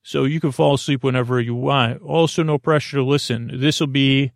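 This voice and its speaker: A man hosting a sleep podcast in a creaky, lulling voice, rambling haltingly through tangents and correcting himself as he goes. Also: mid-range voice, fairly even intonation, no echo, studio quality. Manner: meandering, halting, full of tangents and self-corrections; soothing, lulling. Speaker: podcast host.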